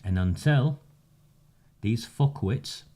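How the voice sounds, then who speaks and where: A man, delivering a measured, sarcastic campaigning monologue with emphatic pauses. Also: medium pitch, animated, warm tone. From northern England. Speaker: community campaigner. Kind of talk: direct-to-camera monologue.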